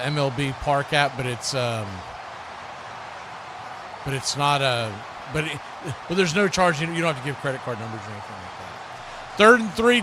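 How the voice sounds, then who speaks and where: A man's voice: mid-range voice, noisy background. An American man working as a sports host and play-by-play commentator on a live football watch party.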